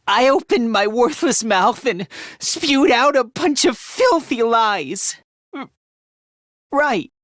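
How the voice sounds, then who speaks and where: A man's voice: very high voice, animated, slow, a bit bright, dry recording, exaggerated voice. A man voicing a timid, self-loathing, frantic young male fighter in an anime-style video game dub, stammering, self-deprecating and easily panicked. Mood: hesitant confession.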